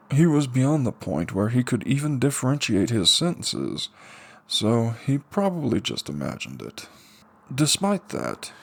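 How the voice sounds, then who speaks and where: A man's voice: mid-range voice, vocal fry, breathy edge. A man reading a light novel aloud as dramatic scripted audiobook narration. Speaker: audiobook reader.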